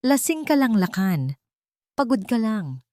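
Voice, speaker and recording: Woman acting out a tormented colonel, wildly animated. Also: on the high side, a little slow, dry recording, studio quality, voice pushed up. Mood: self-soothing. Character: tormented, drunk, guilt-ridden male army colonel, paranoid and violent.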